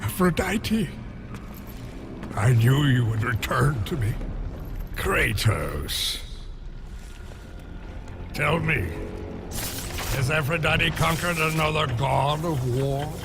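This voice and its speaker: An actor playing a hulking, weary, bitter smith god and protective father in a video game, gravelly, slow and brooding. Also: fairly high pitch, animated, exaggerated voice. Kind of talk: video game cutscene acting. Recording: music behind the voice.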